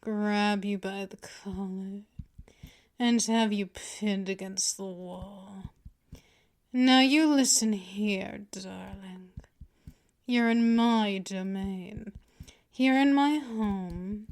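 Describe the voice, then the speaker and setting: A woman playing a dominant, patient female vampire mistress in an ASMR roleplay, teasing, menacing and condescending in a deliberate delivery. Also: medium pitch, darkish tone. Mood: stern, threatening. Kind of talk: ASMR roleplay, scripted monologue.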